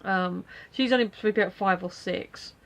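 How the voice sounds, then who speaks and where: A woman talks into her camera at home, her nose stuffed up and snuffly from a cold. She rambles along casually, laughing at herself and filling gaps with ums and sighs, in a mid-pitched voice. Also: moderate pace, creaky. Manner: rambling, self-deprecating, many fillers. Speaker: vlogger. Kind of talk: casual daily video diary.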